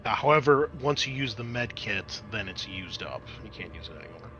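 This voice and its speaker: Bright-voiced man running a tabletop game. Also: fairly low pitch, expressive, fairly quick, muffled recording. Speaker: game master. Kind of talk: running a tabletop role-playing game, improvised narration and rules talk.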